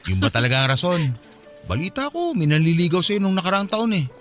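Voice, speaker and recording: A man's voice: a little high, big pitch swings, quick pace, a bit bright, soft background music. An actor playing an easygoing Filipino man in his twenties, chatting casually with an old friend in a radio drama. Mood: teasing, encouraging. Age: 20s. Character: 27-year-old man, easygoing childhood friend, settled boyfriend.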